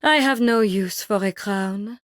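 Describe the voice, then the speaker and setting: Woman doing an imperious cosmic star lady for a game, solemn, grand, really slow, close dry sound. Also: medium pitch, fairly bright tone, dry recording, lightly acted. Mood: solemn, detached. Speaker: game character voice. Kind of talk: video game voice lines.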